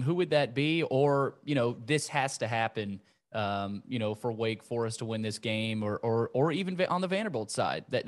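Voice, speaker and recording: Male podcast host, roundabout conversational questioning. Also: medium pitch. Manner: wordy, roundabout questions with restarts.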